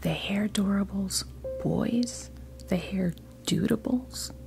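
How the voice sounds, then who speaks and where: A woman hosting an ASMR doll unboxing, chatting casually and softly to camera, gushing over small details in an unhurried way. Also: medium pitch, gravelly, over music.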